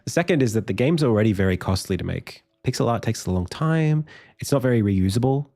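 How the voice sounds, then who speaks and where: A man streaming his game development, thinking aloud in a casual way with lots of fillers and restarts. His voice is deep and croaky, and he sounds tired. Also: expressive, fast, darkish tone. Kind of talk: casual live stream talk.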